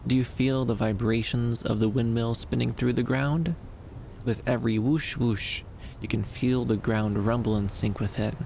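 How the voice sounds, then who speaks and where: Male actor as soft, dreamy young femboy for ASMR roleplay: shy, soothing, creaky mid-range voice, light rumble behind. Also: steady tone, moderate pace, close to an everyday voice. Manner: soft-spoken, hesitant, soothing, with lilting upturns.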